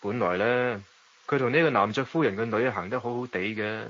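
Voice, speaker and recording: An actor playing a suave, worldly gentleman narrating and talking in a radio drama, with a Hong Kong Cantonese accent. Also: medium pitch, a little fast, lightly acted. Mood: amused, storytelling. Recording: dull lo-fi audio, noisy background.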